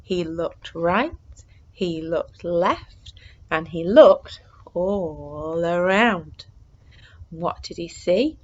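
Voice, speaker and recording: A woman reading a picture book aloud to young children in an expressive way, moving between hushed suspense and excited exclamation. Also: on the low side, very slow, muffled recording.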